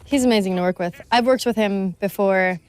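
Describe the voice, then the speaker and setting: A woman's voice: mid-range voice, fairly quick, clear, resonant tone, soft background music. A woman chatting casually as an actress on a commentary track.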